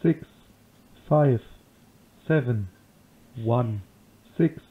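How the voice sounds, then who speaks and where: A man delivers isolated words extremely slowly and flatly, in numbers-station style. The sound is narrow and tinny, like low sample rate phone audio. His pitch sits in the middle range. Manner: flat, isolated word-by-word delivery. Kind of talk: reading single digits as for a numbers station. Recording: phone-like lo-fi 8 kHz playback.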